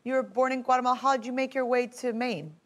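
A woman's voice: high voice, fairly even intonation, quick pace. A woman casually questioning a contestant as a judge on a talent show. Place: large audition hall. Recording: reverberant hall with faint music.

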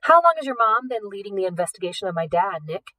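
A woman's voice: medium pitch, very animated, fast talker, pushed and bright, dry recording, rough recording. A woman voicing a determined, suspicious teenage girl thief in an audiobook, pointed and teasing. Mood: wry, accusing.

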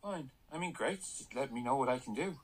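Wry Irish man, husky and expressive. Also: mid-range voice, fairly quick. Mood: hesitant. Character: wry, uneasy, sceptical young male company employee and narrator. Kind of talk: radio drama acting with narration.

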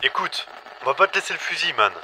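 A man's voice: on the high side, lively intonation, fast, pushed and bright. Man playing a piercing radio-filtered survivor. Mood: wary. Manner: slangy, blunt. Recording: harsh noisy radio link.

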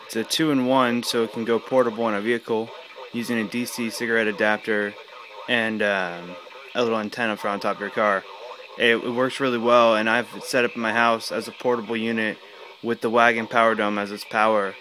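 A man giving a casual, unscripted gadget demonstration at home, talking in a halting, rambling way. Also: fairly low pitch, level tone, bright, forceful tone, low-quality recording. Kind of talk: casual unscripted product demo. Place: small room at home. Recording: clear background noise with clicks.

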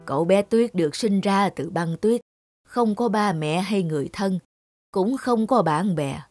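A woman playing a kindly old village grandmother in a children's cartoon, gentle and reassuring. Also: medium pitch, expressive, measured pace, dry recording, studio quality, soft background music. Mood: calm, reassuring.

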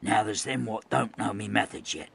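A man voicing a hard, muscular gun captain who talks in rough sailor's dialect. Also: lowish voice, steady tone, moderate pace, a bit bright, exaggerated voice. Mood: gruff.

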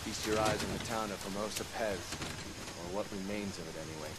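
A man's voice: fairly low pitch, brisk, natural voice. A man playing a cocky, foul-mouthed American intelligence operative in a video game, with a wry, casual delivery. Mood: matter-of-fact. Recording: loud music and ambient noise behind.

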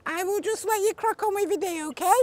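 A man's voice: extremely high pitch, quick pace, a little mellow. A man with a British accent putting on a cheeky, childish little boy voice for a comedy prank call. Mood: cheeky, playful. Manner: cheeky, giggly, playful. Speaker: prank caller. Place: outdoors on stone steps.

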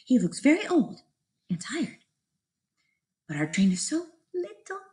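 A woman's voice: high voice, a little slow. A woman doing an eager little toy clown. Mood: pleading. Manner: pleading, excited.

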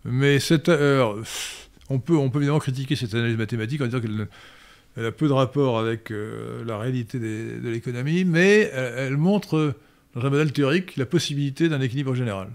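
An older man answering listener questions in a professorial, hesitant manner with frequent pauses and restarts, recorded on a stand mic in a study. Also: mid-range voice. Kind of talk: lecturing Q&A answers. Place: study room indoors. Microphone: studio mic on stand.

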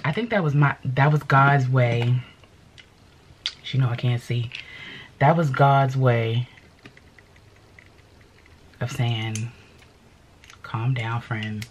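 A woman's voice: very deep voice, a little hiss or hum. A middle-aged woman vlogger chatting casually and fussing as she gets ready, filmed at close range in a home studio. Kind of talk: casual vlog chat. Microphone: camera at close range.

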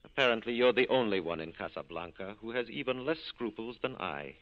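An actor playing a suave, corrupt police captain with an urbane, droll manner in 1940s radio style. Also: male voice, lowish voice, animated, fairly quick, narrow, tinny audio. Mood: wry.

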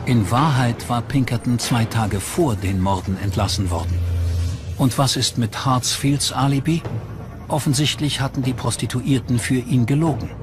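Male narrator, mid-pitched, scripted true crime documentary read over a strong music bed. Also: moderate pace. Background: prominent music.

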